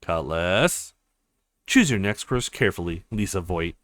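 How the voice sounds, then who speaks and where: A man's voice: low voice, expressive, unhurried, fairly bright tone, no room sound, voice pushed down. A man voicing a cold, formal swordswoman in a visual novel, curt and menacing. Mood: cold, threatening.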